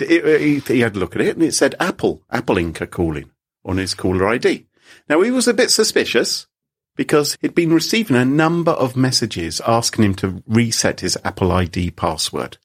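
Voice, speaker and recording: A man's voice: medium pitch, sing-song, normal speed, husky. A British man co-hosting a podcast, telling a story in a theatrical, teasing way. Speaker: podcast co-host.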